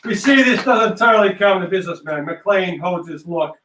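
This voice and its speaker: A man's voice: sits high, expressive, normal speed, background noise. A man narrating a script aloud, reading out stage directions. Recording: echoey room, distant mic.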